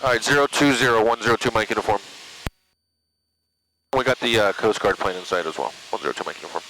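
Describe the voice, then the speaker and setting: A man's voice: on the low side, fast talker, low-quality recording. A man chatting casually and making radio calls as a pilot, in a laid-back, informal way, on an aviation headset in a small plane. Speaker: private pilot working the radios. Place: inside a small propeller plane in flight. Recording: loud small-plane cockpit noise.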